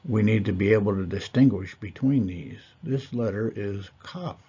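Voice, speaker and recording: A man giving a slow, measured Torah lesson, pausing often with fillers. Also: on the low side, dark tone, breathy edge, lo-fi sound. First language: not Hebrew. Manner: measured, deliberate, with fillers and pauses. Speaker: Torah teacher. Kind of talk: slow lecture.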